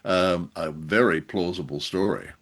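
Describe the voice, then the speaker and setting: A low-pitched man co-hosts a conversational review show. He picks his phrases politely and hesitantly, weighing things up in a measured way as he talks. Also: fairly bright tone. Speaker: podcast co-host reviewing old radio drama. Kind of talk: conversational critical discussion.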